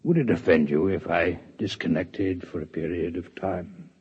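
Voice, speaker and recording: A husky, formal alien scientist. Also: male voice, low voice, normal speed, a little mellow. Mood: polite. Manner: formal, precise, politely detached. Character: courteous alien scientist, six-legged, coolly curious. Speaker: alien visitor. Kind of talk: 1950s radio drama acting. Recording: dull lo-fi 1950s radio broadcast.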